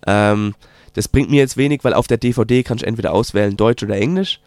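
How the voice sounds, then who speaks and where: Palatinate-accented man, halting, dry sound. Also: lowish voice, a little mellow, no echo. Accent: Palatinate German. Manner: hesitant, many filler sounds, slow drawn-out phrasing. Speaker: documentary filmmaker guest. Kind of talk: casual interview answers.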